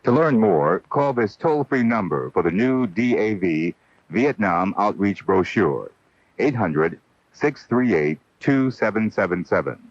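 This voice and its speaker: A man reading a scripted public service announcement on radio, sober and deliberate. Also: fairly low pitch, animated, dry recording. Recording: dull 1980 AM radio broadcast.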